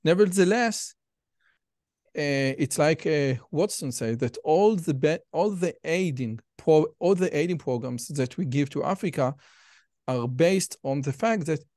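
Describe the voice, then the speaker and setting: Slow-talking host, dry sound. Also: male voice, fairly high pitch, expressive, darkish tone, dry recording. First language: Hebrew. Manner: slow, hesitant, many fillers, enthusiastic laughter. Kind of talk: casual interview.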